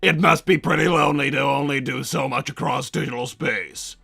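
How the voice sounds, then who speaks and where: A man voicing a pompous, hot-tempered cartoon villain doctor and strict, doting papa, raspy and blustering, chatting in character on a livestream. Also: mid-range voice, fairly even intonation, brisk, pushed and bright, no room sound, clean studio sound, full character voice. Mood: patient, fatherly. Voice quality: gravelly, raspy. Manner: blustering, bombastic. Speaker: livestream host.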